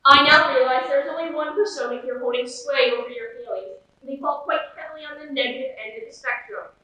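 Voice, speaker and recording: A prim, literal-minded man who embodies logic, acted by a teenage girl, speaks from a distance in a very echoey room. The tone is bright and the phrasing formal and measured. It has the pedantic air of a know-it-all in a student stage reading. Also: high-pitched. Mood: measured, earnest. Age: teens. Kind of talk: student readers theater dialogue.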